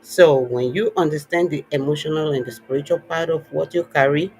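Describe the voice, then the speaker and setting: A man's voice: fairly high pitch, brisk, a little mellow, faint music behind, low-quality recording. A man hosting a faith-based talk show, speaking earnestly and encouragingly with a preacher-like cadence. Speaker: podcast host; worship leader. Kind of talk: warm closing remarks and personal story.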